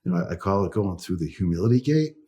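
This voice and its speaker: A man's voice: low voice, animated, quick pace, mellow, husky. A middle-aged man with a Boston accent, a guest telling his story in a chatty interview, full of asides. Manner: chatty, tells stories with quoted dialogue. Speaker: guest, company phone coach.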